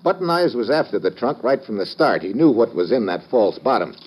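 An actor playing a wisecracking, suspicious American government agent in a vintage radio detective drama, slangy and sardonic. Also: male voice, on the low side, sing-song, fairly quick, a little mellow. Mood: suspicious, pressing. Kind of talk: 1950s radio detective drama, first-person narration and dialogue. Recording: dull vintage radio recording, music behind.

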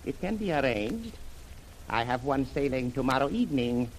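A faintly noisy old radio recording carries a courteous Chinese shipping magnate. He is a man of medium pitch and measured, formal speech. He talks in wise sayings, often dropping small words, in classic adventure serial style. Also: big pitch swings. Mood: grave, courteous. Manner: formal, measured, proverb-laden, dropping articles.

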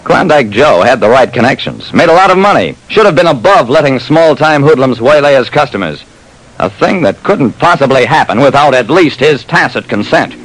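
A man's voice: mid-range voice, lively intonation, normal speed, a bit bright, lightly acted. An actor playing a tough private detective narrating his case in clipped, terse 1940s radio drama style, on a noisy old recording. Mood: hard-boiled narrating. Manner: clipped, terse, hard-boiled.

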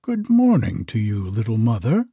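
A man's voice: lowish voice, wildly swooping pitch, moderate pace, warm tone, husky, no echo, clean studio sound, exaggerated voice. A man voicing a brave, earnest young prince, speaking with urgency.

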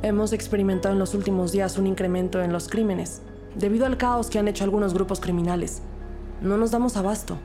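A woman's voice: medium pitch, fairly even intonation, quick pace, over music, lightly acted. An actress playing an earnest, composed police detective who narrates her case in a scripted audio drama. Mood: businesslike.

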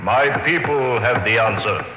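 A male actor playing a small, guarded alien native who answers in a terse, flat, cryptic manner in an old-time radio drama. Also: medium pitch, measured pace, reverberant, in a large hall, background noise, close to an everyday voice. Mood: solemn, guarded.

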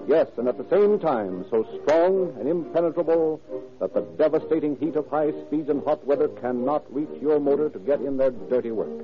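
An American male radio announcer in the emphatic, scripted 1930s manner. His mid-pitched, polished voice comes through a dull old recording with music behind it.

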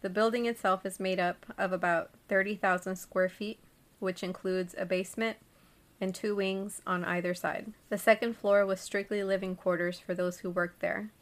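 A woman co-hosting a podcast, telling a story in relaxed, giggly chat. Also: medium pitch, normal speed. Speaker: podcast co-host.

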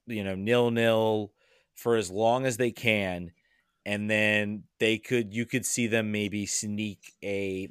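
A man's voice: lowish voice, fairly bright tone, clear, resonant tone, no room sound, clean studio sound. A man making predictions in a casual podcast chat, hesitant and trailing into asides.

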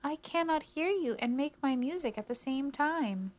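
Woman voicing a loud, high, oblivious cricket. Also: lively intonation, normal speed. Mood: oblivious, eager. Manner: loud, chirpy, questioning. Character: cheerfully oblivious chirping cricket musician.

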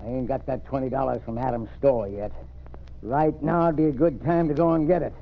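A man's voice: medium pitch, fairly even intonation, brisk, vocal fry, natural voice. A man playing a swaggering, bullying outlaw marshal in a radio western, with an American accent and threatening cowboy slang. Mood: menacing. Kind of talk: old-time western radio acting. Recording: noisy, narrow-band vintage radio recording.

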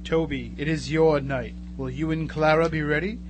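A man's voice: a little high, steady tone, normal speed, noisy background, natural voice. A man playing a knowing, eerie announcer in a radio drama, insinuating and theatrical. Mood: sly, eerie. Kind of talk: live radio drama narration.

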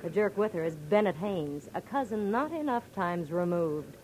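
A woman's voice: on the low side, animated, normal speed, darkish tone, lightly acted, rough recording. An actress playing a feisty, blunt young woman with slangy wisecracks in 1940s radio drama. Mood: brash. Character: brash, tough-talking young blonde bar owner, feisty.

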